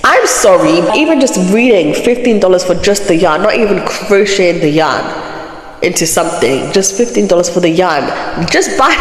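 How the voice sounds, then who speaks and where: A woman hosting a crochet channel, chatting with a blocked, nasal voice from a cold, reacting animatedly and laughing in disbelief. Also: lowish voice. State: has a cold, blocked nose. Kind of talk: chatty reading and reacting to online posts. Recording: echo effect with long reverb.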